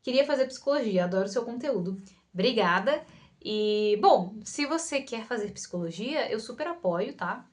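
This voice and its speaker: A woman's voice: fairly high pitch, animated, moderate pace. A young adult Brazilian woman with a Carioca accent, answering followers' questions in an informal, conversational way, often checking in with listeners. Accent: Carioca Brazilian Portuguese. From Rio de Janeiro, Brazil. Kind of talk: casual talk to camera, Q&A.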